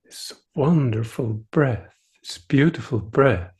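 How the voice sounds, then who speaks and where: A middle-aged man from Sweden with a Swedish accent, a native Swedish speaker, giving a gentle, playful meditation talk over a video call, often chuckling and pausing to search for words. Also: mid-range voice, animated. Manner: unhurried, with pauses and searching for words. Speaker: meditation teacher. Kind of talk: dharma talk at an online retreat.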